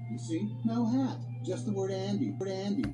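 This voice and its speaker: Brief: an anxious animated cowboy toy, high pitched and lively, strong background music. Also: male voice, moderate pace. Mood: dejected. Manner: fretful, frantic, then apologetic. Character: anxious male cowboy toy, sheriff type. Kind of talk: animated film dialogue.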